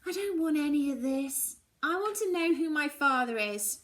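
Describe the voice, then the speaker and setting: A woman's voice: sits high, lively intonation, moderate pace, fairly bright tone, voice pushed up. A woman voicing an angry, hurt schoolgirl in a storybook, with an impassioned, emphatic delivery. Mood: indignant. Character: angry, hurt schoolgirl narrator, confronting her mother.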